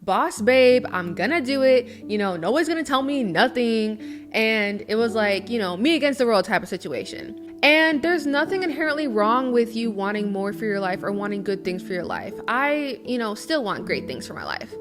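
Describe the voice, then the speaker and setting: A woman's voice: a little high, animated, normal speed. A woman in her early twenties, talking to camera in a chatty, earnest vlog, often saying "you know". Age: early 20s. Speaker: lifestyle vlogger. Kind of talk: casual confessional talk to camera. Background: guitar music underneath.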